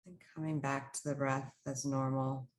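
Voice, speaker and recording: A woman leading a guided meditation, speaking in short, soothing, instructional phrases with unhurried pauses. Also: extremely low pitch, flat delivery, low-quality recording.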